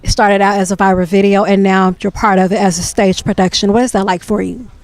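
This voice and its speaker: A woman's voice: mid-range voice, little pitch movement, fairly quick, a little mellow, no echo. A woman hosting a casual interview, asking upbeat questions with a few fillers. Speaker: host.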